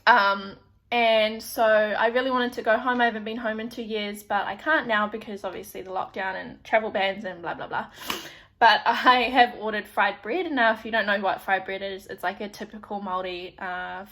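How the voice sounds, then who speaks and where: A woman's voice: medium pitch, steady tone, moderate pace, fairly bright tone. A young woman with a New Zealand accent, chatting casually to camera in a rambling way with lots of fillers. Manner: chatty, rambling, frequent "like" and restarts. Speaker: vlogger.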